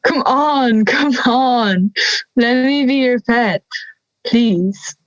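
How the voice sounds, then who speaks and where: A woman's voice: a little high, very slow, no echo, muffled recording. A young woman playing a friendly male wolf with a dry, sardonic manner in an audio drama. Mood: coaxing. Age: young adult. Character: friendly male big bad wolf, wry and gentle.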